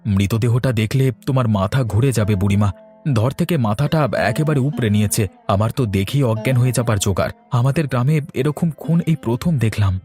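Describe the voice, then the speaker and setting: A man's voice: mid-range voice, fairly quick, warm tone, faint music behind, close to an everyday voice. A man voicing a bold, earnest village man in a cartoon, speaking urgently and expressively. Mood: shocked, grave. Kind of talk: cartoon story voice acting.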